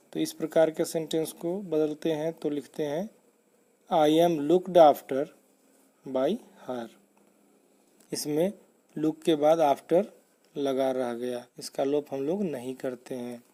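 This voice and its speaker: A man teaching English grammar in a deliberate, step-by-step, instructive manner, as in a scripted lesson. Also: a little high, dark tone.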